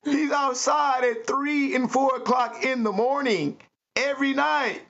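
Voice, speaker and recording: A man's voice: fairly even intonation, measured pace, gravelly, fairly bright tone, heavily acted. A tearful, fretting mother, as a man puts her on. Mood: tearful pleading. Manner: pleading, high, tearful. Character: tearful worried mother at wit's end. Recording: muffled lo-fi recording.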